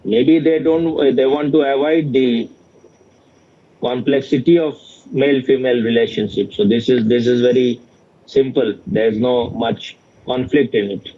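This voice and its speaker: A man giving an informal spiritual question-and-answer talk as a teacher, speaking in a halting, repetitive and bluntly opinionated way. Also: mid-range voice, dark tone. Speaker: spiritual teacher in dialogue with a student. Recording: dull, lo-fi sound.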